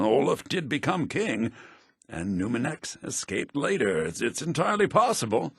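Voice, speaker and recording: An actor playing an earnest, theatrical head bard in a fantasy video game, speaking formally with a slightly raspy voice. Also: male voice, on the high side, pushed and bright, dry recording, studio quality, full character voice. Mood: thoughtful. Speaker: game character, bard college leader.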